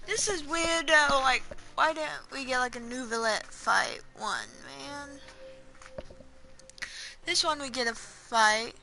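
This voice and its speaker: A woman's voice: high voice, slow pace, bright, forceful tone, poor audio. A woman giving casual, slangy gameplay commentary as a streamer, chatting and reacting to the game. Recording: game music behind.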